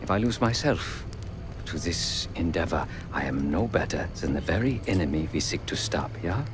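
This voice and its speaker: An actor playing a solemn, remorseful former killer turned pacifist in a video game, speaking in formal, measured phrases. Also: male voice, deep voice, animated, music in the background. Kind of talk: video game cutscene acting.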